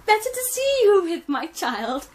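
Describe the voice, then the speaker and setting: Woman voicing a shrill greedy wolf-granny. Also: very animated, measured pace, exaggerated voice. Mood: sly. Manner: wheedling, then greedy and shrill. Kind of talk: storybook read-aloud.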